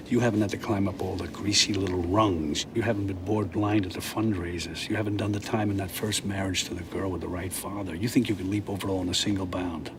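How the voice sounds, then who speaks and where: A man's voice: deep voice, background noise, close to an everyday voice. An actor playing a powerful man delivering a cutting, condescending lecture.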